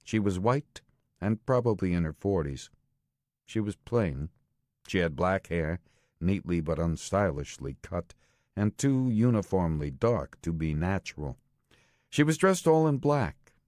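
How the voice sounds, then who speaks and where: A man with an American accent reads a first-person thriller audiobook in a deliberate, terse manner with clipped phrasing. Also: deep voice, a little mellow, no room sound, studio quality. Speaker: audiobook narrator. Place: studio.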